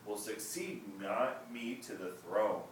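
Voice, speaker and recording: A man acts out a very old storybook emperor, stately, kindly and commanding. He declaims loudly in a very echoey room, some way from the mic, with noise behind. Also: fairly low pitch, takes it slowly, far from the mic. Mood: solemn.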